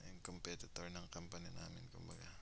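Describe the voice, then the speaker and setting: A man's voice: low-pitched, completely flat delivery, a little fast, creaky, noisy background. A man with a Filipino accent casually commentating his own gameplay, thinking aloud and mixing Tagalog with English. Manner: mixes Tagalog with English phrases.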